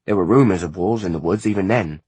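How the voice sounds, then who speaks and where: A man's voice: low-pitched, fast talker, a little mellow, slightly rough, no room sound. A man voicing a weary, grieving peasant mother, sorrowful and pleading, in an audiobook.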